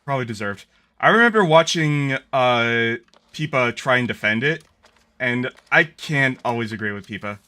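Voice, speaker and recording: A man's voice: medium pitch, expressive, moderate pace, sharp tone. A man streaming a game and chatting casually, sardonic and swearing freely. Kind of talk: casual gaming stream chat.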